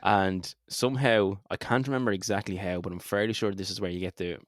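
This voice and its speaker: Deep-voiced Irish man chatting. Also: steady tone, a little fast, dry recording, clean studio sound. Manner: informal, sweary. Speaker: podcast co-host. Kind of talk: casual chat, narrating a game playthrough.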